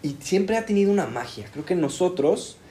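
A man's voice: sits high, animated, normal speed, warm tone. A Mexican man in casual chat, reflecting and explaining at length.

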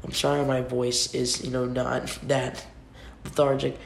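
A young man with a cold talks through his picks in a loose, rambling way, often restarting mid-sentence. His voice has a gravelly fry to it. He records into a computer mic in a roomy, echoing space with a faint hiss underneath. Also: mid-range voice, monotone, measured pace. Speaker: sports podcast host. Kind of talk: casual solo sports predictions. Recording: echoey room with faint noise.

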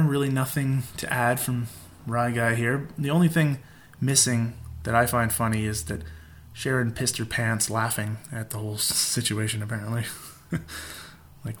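A man's voice: mid-range voice, fairly bright tone, a little hiss or hum. A man hosting a podcast in a casual monologue, irreverent and profane, with laughing asides. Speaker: podcast host.

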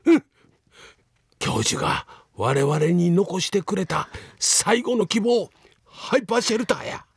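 Casting: excitable Kansai researcher on the run from zombies, gravelly husky voice, dry comedic radio drama. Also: male voice, high-pitched, a little slow, dry recording, exaggerated voice. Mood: earnest, hopeful. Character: panicky, urgent male researcher fleeing zombies.

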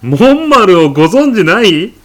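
A man's voice: high voice, sing-song, normal speed, fairly bright tone. A man playing a pushy, eccentric, absurdly self-assured supermarket customer in a comedy radio drama, arguing like an insistent salesman. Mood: smug, indignant. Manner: insistent, indignant salesman-like patter. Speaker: podcast actor.

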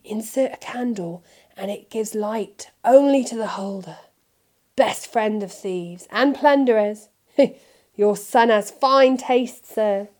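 A woman's voice: medium pitch, takes it slowly, darkish tone, natural voice. A woman putting on an oily fawning shopkeeper. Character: oily obsequious male shopkeeper.

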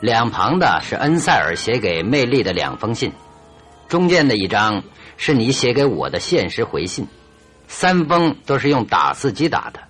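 An actor playing a shrewd, confident detective in a radio drama, speaking deliberately and with command. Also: male voice, mid-range voice, big pitch swings, fairly bright tone. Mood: probing, assured. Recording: radio drama with music underneath.

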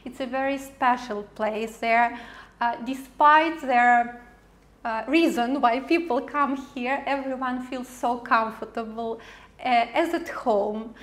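A woman's voice: on the high side, takes it slowly, fairly bright tone. A woman TV host interviewing a guest, effusive and praising, with frequent hesitant fillers. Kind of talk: interview questions.

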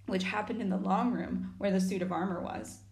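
A woman with a slightly gruff, growly voice reading a chapter book aloud, pausing to chat with young listeners. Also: mid-range voice, steady tone. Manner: warm asides to young listeners. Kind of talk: storybook reading with chatty asides. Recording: echoey room.

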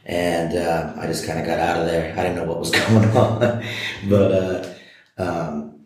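A man's voice: deep voice, flat delivery, unhurried, slight background noise. A man in a casual chat, telling stories in an easygoing way. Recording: echoey room.

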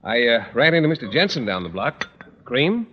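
A man's voice: medium pitch, big pitch swings, moderate pace, natural voice. An actor playing a good-natured, naive and eager man in a mid-century radio sitcom. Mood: affable. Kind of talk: 1940s-50s radio sitcom acting. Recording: echoing, muffled, very noisy old radio recording.

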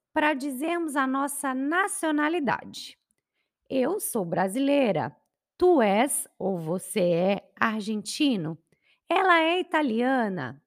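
Woman, Brazilian accent, language teacher, somewhat high voice, unhurried deliberate delivery. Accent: Brazilian Portuguese. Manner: careful, deliberate enunciation of conjugations. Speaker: Portuguese teacher. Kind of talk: slow, clear grammar lesson.

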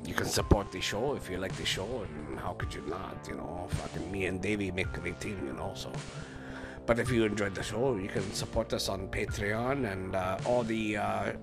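A man's voice: on the low side, moderate pace, gravelly, music in the background. A man co-hosting a podcast, rambling casually through the sign-off with lots of fillers and restarts. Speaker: podcast co-host.

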